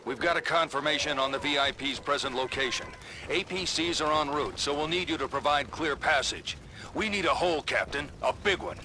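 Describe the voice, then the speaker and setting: Male actor for game general: blunt, commanding, higher-set voice, noisy battlefield backdrop. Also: a little fast, lightly acted. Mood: firm, commanding. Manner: blunt, authoritative military delivery. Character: gruff commanding male army general, impatient and authoritative. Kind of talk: video game military radio briefing.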